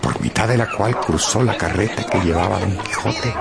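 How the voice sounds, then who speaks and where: A man's voice: on the low side, moderate pace, creaky, over loud music. A man narrating a literary radio play in a theatrical way, repeating words for emphasis.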